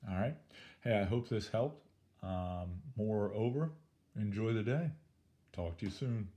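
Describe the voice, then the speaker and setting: A male math teacher, slightly hoarse, talking through an algebra problem in an upbeat, playful way with jokey exclamations. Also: on the low side, measured pace, mellow. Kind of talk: recorded algebra lesson walkthrough.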